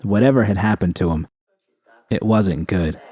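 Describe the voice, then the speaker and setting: A man's voice: fairly low pitch, moderate pace, mellow, breathy edge, no echo, clean studio sound. A man reading scripted first-person fiction as an audiobook narrator.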